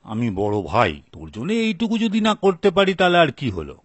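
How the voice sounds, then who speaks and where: A man's voice: on the high side, wildly swooping pitch, normal speed, no room sound. A man playing a scheming, self-interested married elder brother in a folk tale, with a sly, persuasive manner. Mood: falsely generous. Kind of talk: acted dialogue for a story video.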